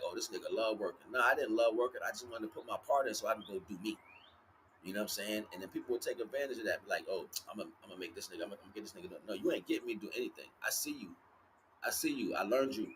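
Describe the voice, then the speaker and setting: A man's voice: on the low side, fairly bright tone. An American man giving an informal spiritual monologue as a livestream host, speaking emphatically and repetitively in street slang. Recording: clearly noisy, ambient background noise.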